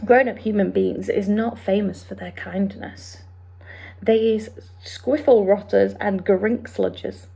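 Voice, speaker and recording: Woman reading as a big friendly giant, mixed up words, low gravelly edge, soft mellow tone. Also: steady tone, takes it slowly, exaggerated voice. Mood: stubborn. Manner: ungrammatical, invented words. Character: big gentle male giant, kindly, mangled grammar.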